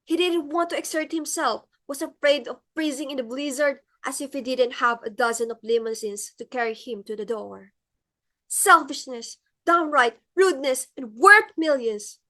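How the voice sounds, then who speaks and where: A woman's voice: sits high, moderate pace, sharp tone. A woman playing an imperious middle-aged society hostess in a comic stage play, theatrical, exclamatory and easily enraged. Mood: furious.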